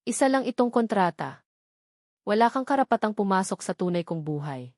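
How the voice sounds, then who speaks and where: A woman's voice: medium pitch, lively intonation, brisk, a little mellow, no room sound, studio quality, lightly acted. A Filipino woman voicing a proud, guarded working woman, formal and firm, in a dramatic audio storybook. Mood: sharp, warning.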